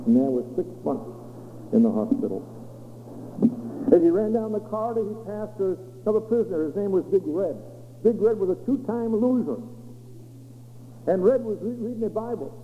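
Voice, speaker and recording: A man's voice: high-pitched, animated, moderate pace, long pauses. A man with a Maine accent preaching, telling testimonies with dramatic swoops and acted-out quotes. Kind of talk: sermon testimony storytelling. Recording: echoey hall over a tinny lo-fi line with faint hum.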